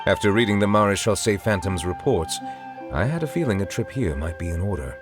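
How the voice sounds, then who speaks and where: A reserved, dignified judge from a video game, played by a man, with a lowish voice. He speaks in formal, courteous, measured phrases over clearly audible music. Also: lively intonation, lightly acted.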